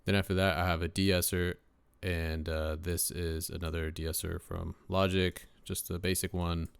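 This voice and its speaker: Young guy with a deep voice, gravelly and smooth, dry close sound, lots of ums. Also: little pitch movement, moderate pace, dry recording. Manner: laid-back, lots of uh and um fillers. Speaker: rapper and home mixing engineer. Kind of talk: casual screen-share mixing tutorial.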